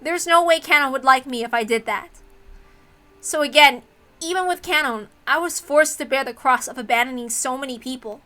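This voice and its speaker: High, defiant young heiress, acted by a woman. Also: moderate pace, bright, forceful tone. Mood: anguished resolve. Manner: blunt, slangy, emphatic.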